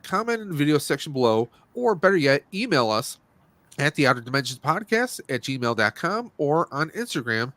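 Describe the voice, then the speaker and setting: A man's voice: on the high side, sing-song, fairly quick, a bit bright, dry recording. A man hosting a casual movie review podcast, speaking in a blunt, opinionated and rambling way. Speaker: podcast host.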